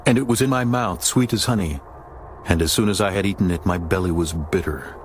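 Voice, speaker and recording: A man narrating a dramatized scripture audiobook in a solemn, measured manner. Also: sits low, slight background noise. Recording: music behind the narration.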